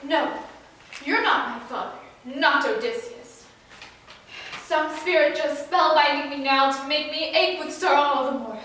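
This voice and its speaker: A woman's voice: high-pitched, unhurried, fairly bright tone. A woman playing an awestruck, fearful young prince in a declamatory stage reading of epic poetry. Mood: disbelieving, pained. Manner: declamatory, earnest, dramatic emphasis. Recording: distant, very echoey room, faint noise.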